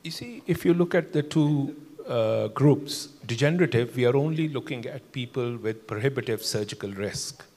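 An older man, a cardiologist on a conference panel, explaining cases in a measured way with many fillers. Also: mid-range voice, darkish tone. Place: large conference hall. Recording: long hall reverb.

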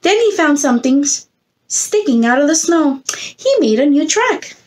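Animated high-pitched woman reading to children. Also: moderate pace. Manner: expressive, coaxing, puts questions and prompts to listeners. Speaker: preschool teacher reading to children. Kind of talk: animated picture-book read-aloud to young children. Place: indoor room against a plain textured wall.

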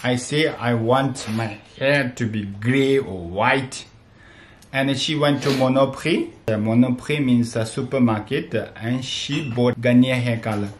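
A man in his thirties from Tibet, speaking as a non-native with a Tibetan accent, chatting casually and haltingly as a family vlogger and repeating himself. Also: medium pitch. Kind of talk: casual vlog chat. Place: small bright room at home. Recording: echoey room, faint background noise.

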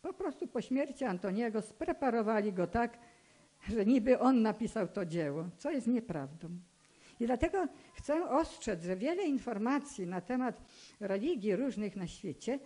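Elderly woman with a highish voice, earnest speaker. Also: warm tone. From Poland. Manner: earnest, admonishing, deliberate with repeated phrases. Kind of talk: public talk, personal testimony. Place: indoor hall with stage and seated audience. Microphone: microphone on a stand, close to the mouth.

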